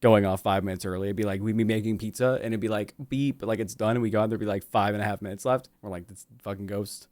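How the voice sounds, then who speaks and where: A man's voice: fairly low pitch, steady tone, fast talker, few pauses, a little mellow, no echo. A man co-hosting a livestream, chatting casually and reading out messages with playful teasing and some swearing. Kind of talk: casual joking banter on a livestream.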